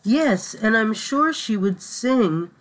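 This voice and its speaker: Storybook little boy bird played by a woman: lonely, earnest, plaintive, higher-pitched. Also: lively intonation, takes it slowly, rough recording. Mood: wistful. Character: small lonely young male bird seeking a mother.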